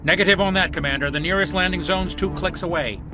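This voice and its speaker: A man's voice: high voice, fast talker, background noise, close to an everyday voice. An actor voicing a cocky, wisecracking male starship pilot in a video game, wry and sardonic. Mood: tense. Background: game music.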